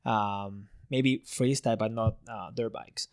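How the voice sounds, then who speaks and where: A man's voice: lowish voice, steady tone, a little slow, clean tone, no echo. Chatty thirties man, very dry sound. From Spain. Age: 30s. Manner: chatty storytelling with many restarts and laughs. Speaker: freestyle motocross rider, guest. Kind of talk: casual interview chat.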